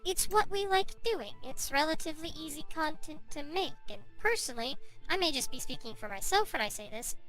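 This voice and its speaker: A woman's voice: high-pitched, lively intonation, fairly quick, a bit bright, soft background music, poor audio. A woman giving casual video essay narration as an online commentary creator.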